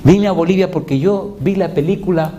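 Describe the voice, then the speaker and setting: A man's voice: sits high, normal speed, lo-fi sound, pitched above the performer's own voice, close to an everyday voice. A male pastor quoting a chatty young foreign woman traveler in his sermon, talking in his own voice. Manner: talkative, matter-of-fact. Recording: noisy live recording with audience.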